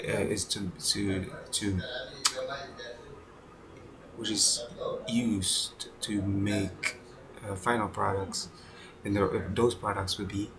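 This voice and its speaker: A man's voice: on the low side, creaky, noisy background. Rambling young man, fillers and restarts. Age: 20s. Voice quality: halting, many fillers and restarts, swears casually. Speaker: vlogger. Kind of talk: casual unscripted video journal.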